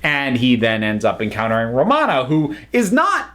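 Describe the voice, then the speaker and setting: A man's voice: medium pitch, wildly swooping pitch, moderate pace, fairly bright tone. A man giving a casual, unscripted review, chatty and rambling as the host.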